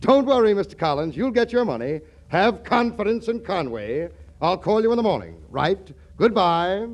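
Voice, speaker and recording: An actor playing a smooth-talking, phony success-school boss in a 1940s radio comedy, pompous and glib like a salesman. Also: high-pitched, big pitch swings, normal speed, exaggerated voice. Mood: glib, placating.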